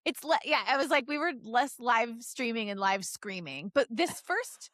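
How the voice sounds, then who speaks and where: Animated, playful woman podcast co-host. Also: on the high side, moderate pace, no room sound, studio quality. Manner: playful, teasing, laughs often. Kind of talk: casual comedic banter.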